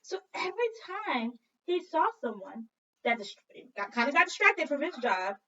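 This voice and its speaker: A woman teacher doing an expressive read-aloud for children, telling the story dramatically and prompting listeners with questions. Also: sits high, normal speed. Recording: dull lo-fi sound, distant from mic.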